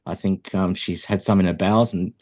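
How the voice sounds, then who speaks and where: A middle-aged man speaks in a very low, deep voice. He chats at an easy, halting pace, full of ums and pauses, with a dry wit running through his casual podcast talk. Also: steady tone, darkish tone. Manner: hesitant, many ums, dry humour. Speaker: podcast co-host. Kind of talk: casual chat.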